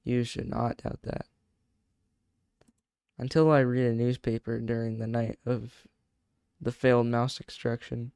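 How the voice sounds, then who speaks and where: A man's voice: medium pitch, little pitch movement, a little slow, gravelly, long pauses, darkish tone, clear, resonant tone, no echo, studio quality. A man reading a horror story aloud in a casual, stumbling way, breaking off to react. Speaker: video creator reacting and narrating.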